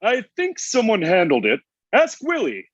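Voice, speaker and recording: Man playing a high-voiced, husky, blunt old Santa. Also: huge pitch swings, a little slow, dull audio, heavily acted. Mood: dismissive. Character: Santa Claus, ancient, grumpy, easily distracted boss. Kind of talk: table read comedy acting.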